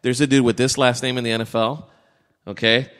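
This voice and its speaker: A man's voice: mid-range voice, level tone, fairly quick, fairly bright tone, clear, resonant tone. A man hosts a sports podcast, joking casually and crudely. He gives blunt opinions in an everyday mid-range voice, recorded with a long echo around it. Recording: echoey room with long reverb.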